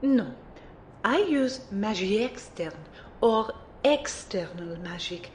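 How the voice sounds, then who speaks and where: Woman doing a slow, haughty mage mentor. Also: mid-range voice, animated, a little mellow, a little hiss or hum. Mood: lecturing. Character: haughty, fond French female rune mage mentor. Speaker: voice actor in an amateur audio drama. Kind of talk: acted dialogue in an audio drama.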